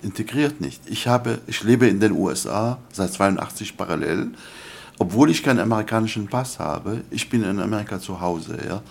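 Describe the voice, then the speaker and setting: An older man, a scholar answering interview questions in an earnest, lecturing way, often halting with fillers. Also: low voice, gravelly. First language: Arabic. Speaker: guest scholar.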